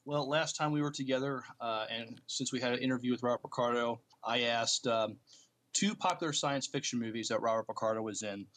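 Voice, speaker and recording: A man with a medium-pitched voice co-hosts a podcast. He talks casually and plainly, a little haltingly, and keeps things understated. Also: a bit bright. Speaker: podcast co-host. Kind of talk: casual chat.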